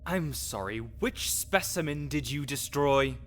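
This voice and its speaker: A man's voice: on the high side, lively intonation, measured pace, fairly bright tone, a little hiss or hum. A man playing a grouchy, scholarly necromancer in an audio roleplay, condescending, pedantic and sarcastic. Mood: weary irritation. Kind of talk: audio roleplay acting addressed to the listener.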